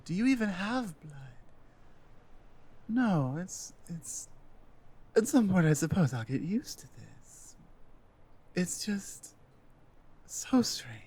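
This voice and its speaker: A woman voicing a strong, smitten female adventuring healer in an intimate fantasy ASMR roleplay, speaking haltingly with breathy, sultry asides. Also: low-pitched, mellow, full character voice. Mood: curious, bemused.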